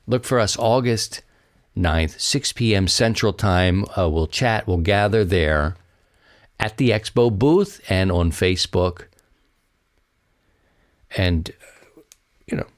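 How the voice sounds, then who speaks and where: A man's voice: low-pitched, dry recording, clean studio sound. A man hosting a podcast solo, talking in a casual, rambling, conversational way and addressing his listeners directly. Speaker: podcast host.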